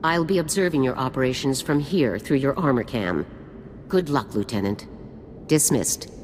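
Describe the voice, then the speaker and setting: A woman's voice: extremely low pitch, expressive, normal speed. An actress playing a stern, commanding female special forces general in a video game, speaking in a formal, authoritative, clipped way. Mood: grave, commanding. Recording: long reverb with faint noise.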